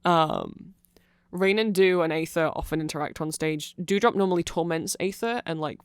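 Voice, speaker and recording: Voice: mid-range voice, very animated, normal speed, clean tone, no room sound. A person giving an informal, rambling ASMR-style explanation full of asides, close to the microphone. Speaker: ASMR audio creator.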